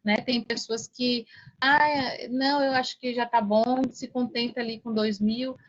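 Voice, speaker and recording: A middle-aged Brazilian woman with a fairly high voice, recorded a little dully. She talks casually as a podcast guest, stresses her points by repeating them and checks in with short tag questions. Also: little pitch movement, a little slow, highs cut off. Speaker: podcast guest, online affiliate mentor. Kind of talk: casual interview talk.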